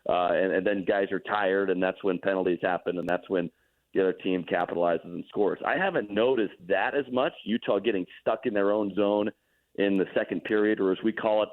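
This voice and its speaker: A man, a hockey broadcaster, giving relaxed, explanatory analysis as a radio guest. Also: on the low side. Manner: relaxed, explanatory, self-deprecating. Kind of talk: casual radio interview analysis. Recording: tinny phone line.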